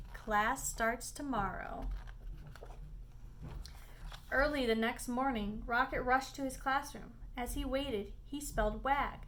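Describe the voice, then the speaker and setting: Female storybook narrator: high voice, expressive and deliberate, faint noise in the recording. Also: rough recording. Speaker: storybook reader. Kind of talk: expressive read-aloud of a children's picture book.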